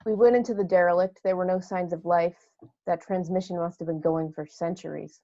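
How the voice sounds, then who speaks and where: A woman playing a shaken, accusing spaceship navigator with a creaky voice in an amateur table read. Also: lowish voice, fairly even intonation, brisk, mellow, dry recording, muffled recording, lightly acted. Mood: grim, shaken.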